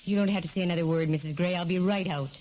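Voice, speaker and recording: A woman's voice: on the low side, racing, natural voice. An actress playing a wry, self-assured woman private detective in a 1940s radio drama. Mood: brisk, businesslike. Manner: wry, sardonic. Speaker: lead actress and first-person narrator. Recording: old 1940s radio recording, music behind.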